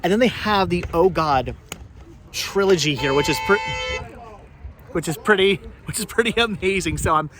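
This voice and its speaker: A man's voice: high-pitched, lively intonation, moderate pace, pauses often. A man chatting casually as a vlog host outdoors at a flea market, often repeating and doubling back on his phrases. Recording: noisy outdoor flea market location sound.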